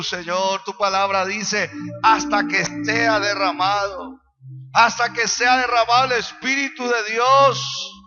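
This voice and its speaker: Very high-pitched man praying fervently. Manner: impassioned, repetitive, imploring. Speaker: pastor leading worship and prayer. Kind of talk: fervent charismatic prayer and exhortation over worship music. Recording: dull lo-fi sound under loud worship music. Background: loud worship music.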